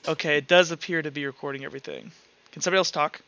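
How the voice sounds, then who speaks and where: A man's voice: on the high side, fairly even intonation, very fast, bright, forceful tone, lo-fi sound. A man hosting an online tabletop game as game master, chatting casually and often repeating words as he stammers along. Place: online voice call.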